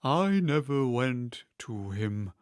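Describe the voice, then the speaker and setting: A man's voice: mid-range voice, sing-song, takes it slowly, clean tone, no echo, clean studio sound. A man voicing a melancholy Mock Turtle from a storybook, stretching out his words in a mournful, sighing delivery. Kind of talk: storybook character reading.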